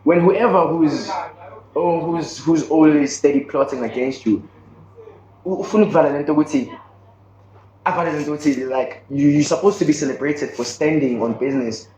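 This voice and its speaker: A man's voice: a little high, a little fast, darkish tone. A man with a South African accent hosting a podcast, talking earnestly with many restarts and bits of Zulu. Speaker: podcast host. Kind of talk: casual chat. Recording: dull echoey room sound.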